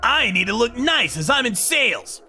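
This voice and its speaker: A man's voice: very high voice, sing-song, moderate pace, gravelly, bright, forceful tone, faint music behind, voice pushed up, full character voice. A man voicing an arrogant, whining younger brother, an overexcited salesman, in a dramatized story. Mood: flustered. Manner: sneering, overexcited, pleading.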